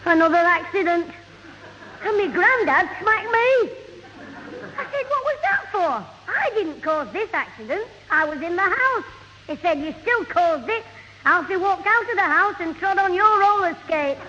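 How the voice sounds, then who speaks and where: An actor playing a cheeky, scheming schoolboy in a radio sitcom, with a Northern English accent and a mock-innocent, quick-witted manner. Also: male voice, very high-pitched, animated, big-room sound. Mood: aggrieved. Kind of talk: 1970 radio sitcom acting. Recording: muffled old radio broadcast, hollow, hissy.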